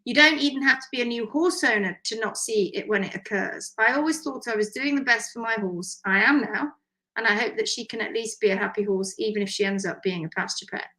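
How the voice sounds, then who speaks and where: A woman hoof care teacher whose voice is croaky and hoarse from strained vocal cords, yet still bright and forceful. She sits a little high in pitch and talks in an animated, emphatic way, with quick asides as she reads out viewer comments. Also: poor audio. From United Kingdom. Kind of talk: live session chat, reading viewer comments.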